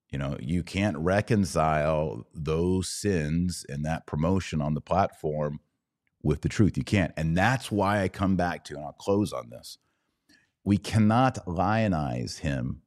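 A man's voice: low voice, a little mellow. A man hosting a podcast, giving conversational religious and political commentary in an emphatic, opinionated way with short declarative statements.

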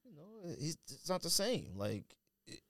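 Animated, slangy man co-hosting a podcast. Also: on the high side, measured pace. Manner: slangy, offhand. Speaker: podcast co-host. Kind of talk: casual sneaker and sports podcast banter.

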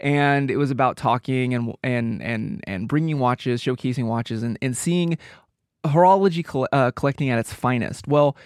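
A man's voice: mid-range voice, moderate pace, a little mellow, no room sound. A man with a head cold talks casually on a podcast, his voice a little nasal. He is easygoing, laughs often and restarts his words, recorded dry and close with no room sound. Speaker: podcast co-host. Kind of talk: casual chat.

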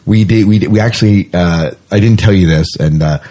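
A man co-hosting a podcast in casual chat, with jokey banter and words repeated in quick agreement. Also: low voice, dark tone. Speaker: podcast co-host. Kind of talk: casual chat, running through the show's lineup.

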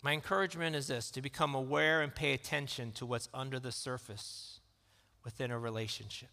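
A man preaching a sermon in a calm, conversational way that rises into emphatic questions. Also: mid-range voice, fairly quick, gravelly. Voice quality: calm, soothing voice. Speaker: pastor. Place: church sanctuary. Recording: long reverb of a church hall.

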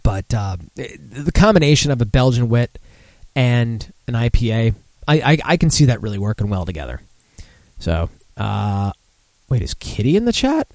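A man's voice: medium pitch, very animated, moderate pace, a little mellow, dry recording. A mildly tipsy man hosting a casual live podcast, rambling and swearing in a self-deprecating way. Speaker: podcast host. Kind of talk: casual live beer-news chat.

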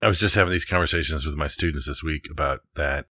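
A man's voice: a bass voice, fast talker, sharp tone, slightly rough, no echo, clean studio sound. A man co-hosting a podcast, talking in an informal, agreeable, conversational way. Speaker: podcast co-host, architecture teacher. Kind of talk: casual conversational podcast talk.

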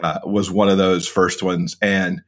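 A man's voice: deep voice, level tone, a little slow, fairly bright tone. A man, a podcast co-host, talking casually and keenly as an interview guest. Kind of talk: casual conversation.

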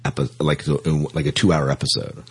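Very deep, husky male podcast host. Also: little pitch movement, fast. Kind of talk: casual fan discussion of sci-fi TV.

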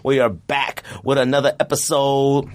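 A man's voice: mid-range voice, little pitch movement, normal speed. A man hosting a casual podcast in a studio, talking in loose slang and repeating himself for emphasis. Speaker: podcast host. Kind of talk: casual sneaker podcast banter.

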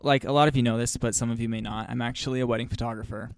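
Casual podcast co-host, male, gravelly edge to the voice, dry close sound, chatty and upbeat. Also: medium pitch, steady tone, rapid-fire, no room sound. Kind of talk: casual chat.

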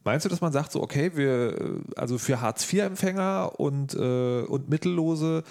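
Male podcast interviewer, informal and wry, gravelly creak in the voice, questions that wander. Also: a little high, lively intonation, moderate pace, darkish tone. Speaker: podcast host. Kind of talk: casual conversational interviewing.

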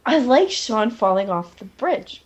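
Woman chatting on a podcast, kinda high, swoopy pitch, casual. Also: very animated, measured pace, slight background noise. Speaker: podcast host. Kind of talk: casual TV recap chat.

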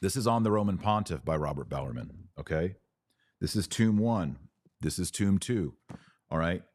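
A man's voice: deep voice, expressive, moderate pace, darkish tone, no echo, studio quality. A man hosting a religious commentary podcast, explaining things emphatically and didactically, often posing questions and answering them himself. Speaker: podcast host.

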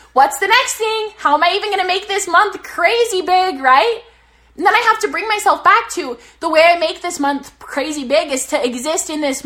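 A young woman sitting on her bed giving casual, energetic motivational business coaching on a live stream, swearing now and then, her voice slightly worn from talking too much. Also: very high voice.

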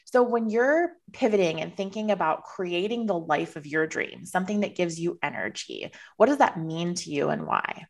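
Encouraging woman host, lower voice. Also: expressive, normal speed, vocal fry, hardly any pauses. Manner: encouraging and reflective. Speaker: podcast host. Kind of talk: casual interview chat.

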